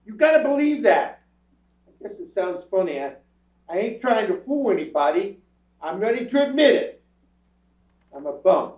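Man acting a high-voiced, defensive down-and-out suspect. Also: sing-song. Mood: wounded, pleading. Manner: streetwise, ungrammatical, halting protests. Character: defensive, self-pitying down-and-out male suspect, boozy bum. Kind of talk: stage reading of a 1950s radio crime drama. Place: theatre stage before an audience. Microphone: far camera. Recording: roomy theatre stage from far off, muffled.

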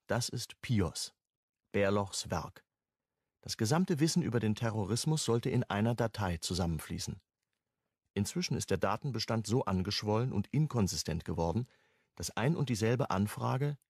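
A man's voice: on the low side, darkish tone, breathy edge, no echo, clean studio sound. A man narrating a scripted radio crime drama.

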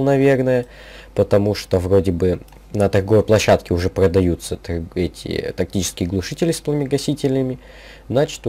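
A young man with a slightly sick voice giving casual, rambling game commentary in halting gamer slang over background music. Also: sits low, warm tone, husky. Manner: informal gamer slang, halting, thinking aloud. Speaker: gaming video creator. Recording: music behind the voice.

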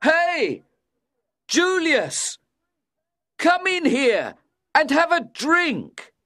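Loud drunken young man, swooping high. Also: big pitch swings, slow pace, no room sound, studio quality, heavily acted. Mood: shouting. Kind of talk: audiobook character voice.

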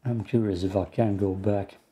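A man's voice: fairly low pitch, steady tone, normal speed, mellow, slightly rough. A man with an Austrian accent casually commenting on a horror game as he plays, muttering frustrated asides to himself. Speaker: gameplay streamer. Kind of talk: casual let's play commentary.

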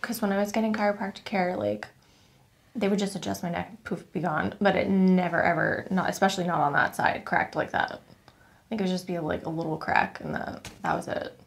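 A woman's voice: on the low side, steady tone, fairly quick, creaky. A young adult woman, a patient chatting casually during a chiropractic treatment in a small office. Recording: noisy room sound with clicks.

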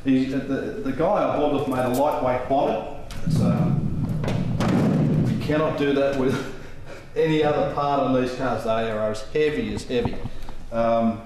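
A man's voice: mid-range voice, fairly even intonation, a little slow. Australian man, sixties, rambling and hesitant, workshop shed, heavy echo, background noise. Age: 60s. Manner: rambling, hesitant, laughs easily. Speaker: car collector. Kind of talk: casual interview chat. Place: large metal workshop shed, indoors.

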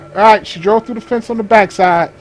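A man's voice: high voice, fairly quick. A man doing improvised police radio call-outs in a game, mixing clipped procedural jargon with casual muttered asides. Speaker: gamer playing a sheriff's deputy in a police patrol role-play. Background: game vehicle and ambient sounds.

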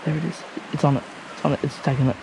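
Young Aussie guy, slightly high voice, excitable and repetitive, noisy outdoor action-cam sound. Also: very fast, vocal fry. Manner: excitable, repeats himself, exclaims loudly. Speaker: fishing companion. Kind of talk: excited casual chat. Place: outdoors on a creek bank. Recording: outdoor camera audio with background noise.

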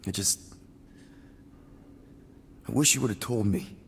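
An actor playing an awkward, grieving would-be father in a video game drama, speaking in a halting, informal way. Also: lowish voice, a little hiss or hum. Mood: wistful, hurt. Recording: long hall-like reverb.

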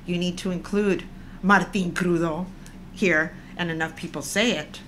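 A woman's voice: on the low side, steady tone, measured pace, a bit bright, a little hiss or hum. A woman in her fifties co-hosting a casual vlog, chatty and opinionated, often laughing, seated on a sofa indoors. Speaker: vlog co-host. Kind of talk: casual chat. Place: indoors, living room with orange sofa.

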